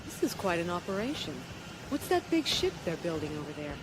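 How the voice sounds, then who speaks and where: An actress playing a feisty, sceptical princess with a spirited, dry manner in an audio drama. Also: female voice, on the low side, big pitch swings, a little fast, background noise. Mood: curious, dry. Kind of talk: space-opera audio drama acting.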